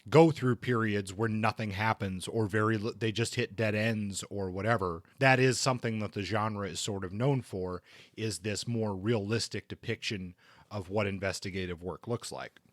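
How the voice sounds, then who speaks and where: A man's voice: on the low side, normal speed, dry recording, studio quality. A man co-hosting a conversational book discussion, feeling his way through his points with hedged, exploratory phrasing. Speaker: podcast co-host.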